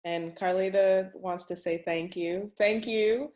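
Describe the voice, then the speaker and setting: A woman's voice: medium pitch, fairly even intonation, slow pace, a little mellow. A woman hosting a question-and-answer session in a casual, chatty, encouraging way with informal, folksy phrasing. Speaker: host of a real estate question-and-answer session.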